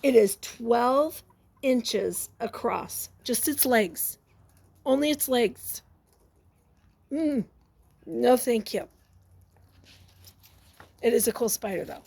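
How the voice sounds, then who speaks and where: A woman reading a picture book aloud to young children, expressive and exclamatory, often breaking off to ask her listeners questions and add asides. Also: on the high side, slow pace, vocal fry, long pauses, breathy edge.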